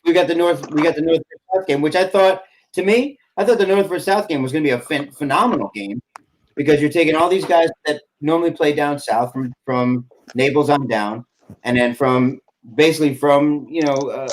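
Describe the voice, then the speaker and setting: A man's voice: on the high side, a little hiss or hum, low-quality recording. A man in his forties who owns an airsoft field, chatting casually on a video call podcast and rambling with many fillers and restarts. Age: 40s.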